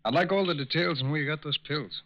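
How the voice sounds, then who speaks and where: An actor playing a calm, firm, fatherly federal agent who questions formally in a 1940s radio crime drama. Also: a little high, fast, close to an everyday voice. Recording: muffled 1940s radio recording.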